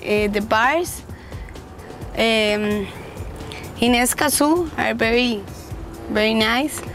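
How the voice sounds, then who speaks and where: A young woman from Costa Rica whose first language is Spanish answers questions hesitantly. She speaks very slowly, her voice slightly high. Clear music plays behind her outdoors. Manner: hesitant, halting. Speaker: interviewee. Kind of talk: casual chat. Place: outdoors on a boat.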